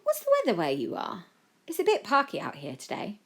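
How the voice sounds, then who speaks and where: Woman playing a chatty homely British mum, lively. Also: medium pitch, brisk, vocal fry, slightly rough, voice pushed up, full character voice. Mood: polite. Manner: chatty, folksy.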